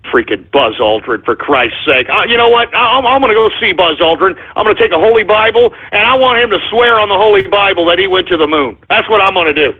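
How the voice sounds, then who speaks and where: A man hosting a call-in radio show, brash and excitable, hurling insults at callers and often yelling. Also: high voice, fast talker, few pauses, breathy edge. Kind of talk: loud ranting call-in banter. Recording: tinny lo-fi stream audio.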